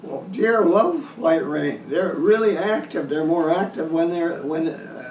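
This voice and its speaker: A man giving an informal instructional hunting talk in a halting, folksy way, repeating and restarting his phrases. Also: fairly high pitch, animated, a little mellow. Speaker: deer hunting instructor hosting a video seminar. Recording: roomy echo, distant mic, some noise.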